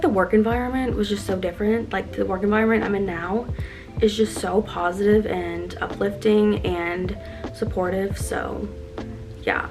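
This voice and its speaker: A woman's voice: mid-range voice, creaky. A woman in her early twenties chatting casually while getting ready, upbeat and earnest, with lots of "like" fillers. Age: 20s. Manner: chatty, filler-heavy with constant "like". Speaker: lifestyle vlogger. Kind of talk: casual get-ready-with-me chat. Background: background music.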